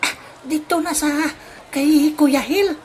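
Slow, high-voiced woman in a skit. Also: darkish tone, a little hiss or hum.

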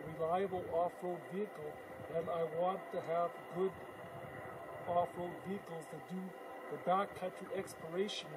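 An older man on a mountain hike, chatting casually and rambling with many fillers, filmed from a few steps away on a forest road. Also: high voice. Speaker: hiker and backcountry explorer. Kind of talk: casual outdoor commentary. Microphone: camera held by his brother some distance away. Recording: distant outdoor voice with noise.

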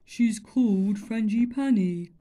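A woman's voice: on the high side, lively intonation, slow, mellow, voice pushed up. A woman doing a mournful, grandly self-pitying elephant.

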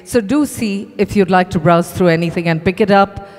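A man gives closing announcements to guests at a live event. His voice sits high for a man. It carries the long reverb of a large hotel ballroom. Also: moderate pace, faint music behind. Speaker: event host.

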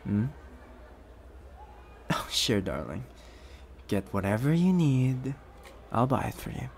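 A man playing a rich, possessive, doting boyfriend in a soft-spoken, coaxing ASMR roleplay. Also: mid-range voice, big pitch swings, slight background noise. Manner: soft-spoken, unhurried, coaxing with pet names. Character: rich possessive young boyfriend, smooth, doting, quietly menacing.

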